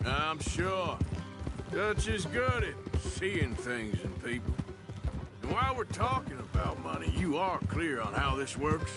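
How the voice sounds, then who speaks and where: An actor playing a skeptical, seasoned cowboy outlaw with an American accent in a video game, dry and teasing. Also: male voice, on the high side, wildly swooping pitch, measured pace, loud music behind the voice. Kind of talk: video game dialogue acting.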